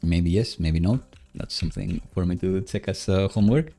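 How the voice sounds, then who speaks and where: A man casually commentating as he codes live, musing and questioning himself in a halting way. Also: deep voice, warm tone, faint music behind. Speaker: live-streaming programmer host. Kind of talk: casual live coding commentary, thinking aloud.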